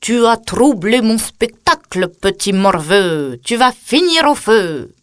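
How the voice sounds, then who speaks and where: A woman's voice: mid-range voice, lively intonation, slow pace, dry recording, studio quality. A woman voicing a terrible, bullying male puppet-theatre master in a children's story, menacing and theatrical.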